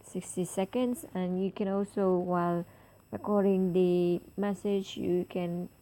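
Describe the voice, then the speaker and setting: A woman hosting a step-by-step app tutorial, explaining in halting, explanatory phrases. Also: fairly low pitch, fairly even intonation, mellow, low-quality recording. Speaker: tutorial host.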